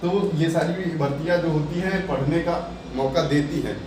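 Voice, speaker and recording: A man's voice: on the high side, steady tone, moderate pace. A man giving exam aspirants an earnest update on applications and competition, coaxing them with rhetorical questions. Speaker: exam coaching presenter. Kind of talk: motivational exam update talk. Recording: echoey room from a distant mic with faint noise.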